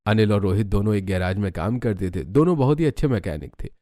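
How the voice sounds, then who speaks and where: Mellow male storyteller over music. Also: lowish voice, quick pace. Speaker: narrator. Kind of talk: scripted story narration.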